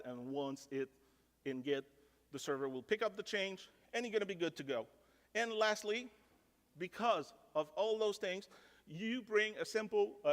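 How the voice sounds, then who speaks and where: A man's voice: on the high side, a bit bright. A French-speaking man from Canada giving a casual technical conference talk, sprinkling in "like" and joking at his own expense. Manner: casual, self-deprecating, filler "like". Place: large conference ballroom. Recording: reverberant conference ballroom.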